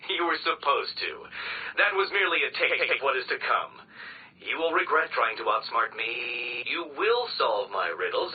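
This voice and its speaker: Muffled, very animated arrogant male villain. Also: on the high side. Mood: gloating, commanding. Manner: pompous, sarcastic, theatrical. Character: arrogant, sneering male villain, petty and insulting puzzle-master. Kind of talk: video game villain voice acting. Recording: muffled, slightly noisy radio transmission sound.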